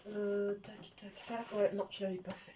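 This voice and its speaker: A woman's voice: medium pitch, level tone, measured pace, noisy background. A woman chatting casually as she unboxes beauty products, rambling and interrupting herself, sometimes dropping to a near-whisper. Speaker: beauty vlogger.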